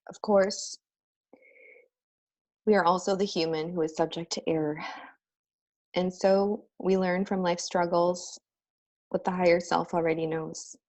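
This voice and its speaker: A woman's voice: lowish voice, level tone, unhurried, long pauses, a little mellow. A woman giving a heartfelt, reflective speech at a spiritual ordination ceremony. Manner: earnest, reflective, spiritual address. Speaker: ordained minister giving a speech.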